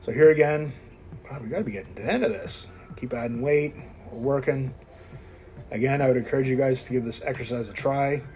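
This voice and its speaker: A man in his fifties talks viewers through his gym routine between sets, blunt and self-mocking as he coaches. His voice sits at an ordinary middle pitch for a man, and faint music plays behind him in the gym. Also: a little fast, darkish tone, low-quality recording. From Quebec, Canada. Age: 50s. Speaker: fitness vlogger. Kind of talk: casual workout commentary. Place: indoor gym.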